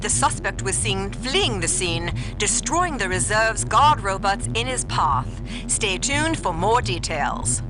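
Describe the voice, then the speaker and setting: A woman's voice: mid-range voice, sing-song, takes it slowly, few pauses, low-quality recording. An actress playing a formal female news anchor reading a bulletin in a video game. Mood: urgent, formal. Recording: music behind.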